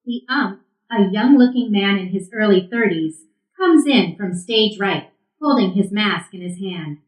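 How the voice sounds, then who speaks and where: A woman narrates a play by reading its stage directions. Her voice sits at an average pitch, in scripted narration. The recording is clearly roomy and echoing. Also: expressive, unhurried.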